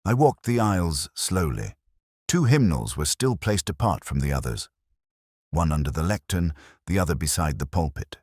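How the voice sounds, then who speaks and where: A man narrating a scripted first-person detective story in a measured, reflective, literary manner. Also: low voice, big pitch swings, a little mellow, husky, no echo, studio quality.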